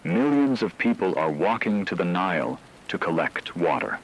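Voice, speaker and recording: A man reading a scripted emergency broadcast in a grave, measured way, breaking it into short phrases. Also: sits low, very animated, slightly rough, low-quality recording. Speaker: radio broadcast narrator. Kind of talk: scripted narration, emergency news-broadcast style.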